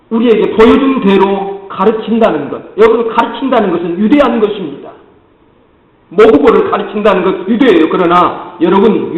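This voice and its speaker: A man with a high voice and a light Jeolla accent preaches a sermon, speaking straight to his congregation. His voice rings through a large church hall with a long echo. The sound is muffled and lo-fi. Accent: Jeolla Korean. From Jeolla, South Korea. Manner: earnest preaching, often addressing the congregation directly. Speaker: pastor. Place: church sanctuary.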